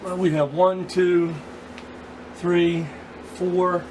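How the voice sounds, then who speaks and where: A man giving a casual hands-on repair walkthrough, speaking haltingly with pauses in mid-sentence. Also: high-pitched, little pitch movement, a little mellow, a little hiss or hum, rough recording. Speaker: vlogger repairing vintage radios.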